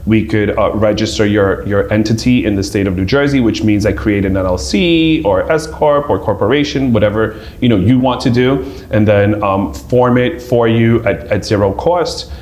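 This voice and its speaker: A man's voice: fairly low pitch, moderate pace, few pauses, a little mellow. A man from New York, a guest on a radio show, earnestly explaining small business services and often tagging his points with "right?". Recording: echoey room with faint ambience.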